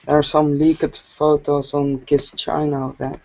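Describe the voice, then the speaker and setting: A man's voice: fairly high pitch, completely flat delivery, measured pace, a little mellow. A young man making short, casual remarks about tech as a participant in an online group talk. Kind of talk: casual, brief tech chat. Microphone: online voice call. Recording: muffled online call, faint noise.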